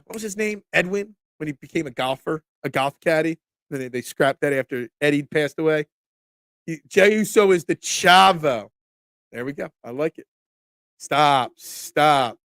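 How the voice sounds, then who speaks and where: A man, congested from a cold, hosting a casual sports talk stream, excitable and opinionated, often restarting his sentences. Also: fairly high pitch, no room sound, studio quality. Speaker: sports talk show host. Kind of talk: casual live-stream sports talk, reading chat comments.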